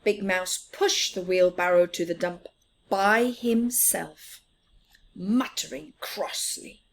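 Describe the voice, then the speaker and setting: A woman's voice: on the low side, slow pace. A woman reading a picture book aloud expressively for young children, putting questions to them and often dropping toward a whisper. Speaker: children's storyteller.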